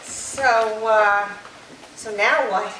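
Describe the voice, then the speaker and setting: A woman playing a skeptical college student, reading a stage play in a plain, measured way. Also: mid-range voice, pushed and bright. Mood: earnest. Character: skeptical female college student aboard a submarine. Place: echoey room, staged reading. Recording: very echoey room, far off, faint noise.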